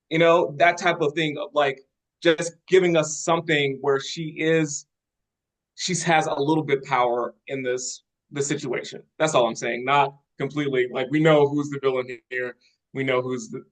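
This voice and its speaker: Man with halting, casual delivery. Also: medium pitch, fairly bright tone, poor audio. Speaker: participant giving script feedback. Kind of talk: casual discussion.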